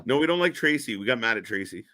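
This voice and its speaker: A man's voice: medium pitch, expressive, quick pace, sharp tone, no echo. A man from Canada co-hosting a live stream, joking and teasing his co-host in casual back-and-forth banter.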